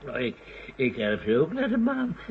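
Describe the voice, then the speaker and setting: An actor playing a tipsy, gloating old scientist, lecherous and rambling, in a vintage radio drama. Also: male voice, fairly high pitch, wildly swooping pitch, measured pace, vocal fry, slightly rough, heavily acted. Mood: gloating, gleeful. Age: older. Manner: lecherous, gleeful, rambling, chuckling. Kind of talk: 1970s Dutch radio drama acting. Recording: dull, noisy old radio recording.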